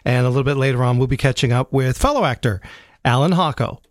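Measured male radio host. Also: medium pitch. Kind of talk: radio interview.

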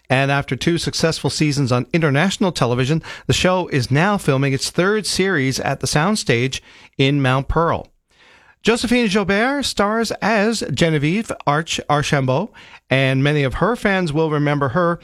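A man's voice: fairly high pitch, a bit bright, no echo, studio quality. A man hosting a morning radio show, friendly and conversational. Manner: friendly, conversational, some stumbles. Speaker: radio host.

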